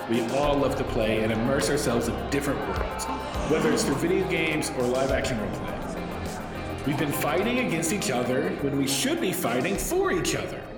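A man's voice: mid-range voice, very animated, moderate pace, a loud crowd, natural voice. A man acting as an eager, idealistic gamer adventurer in a tongue-in-cheek radio play. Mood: earnest rallying. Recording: loud music behind dialogue.

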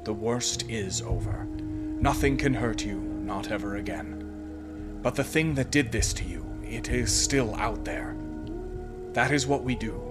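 An actor playing a calm, grave investigator who wakes dead souls, speaking formally and soothingly in a horror audio drama. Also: male voice, fairly low pitch, unhurried. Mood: soothing, solemn. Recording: music behind the voice.

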